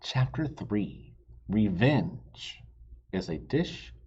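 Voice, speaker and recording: A man reading a children's storybook aloud like a teacher, animated and stopping to ask the children questions. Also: on the low side, drawn-out words, breathy edge, muffled recording.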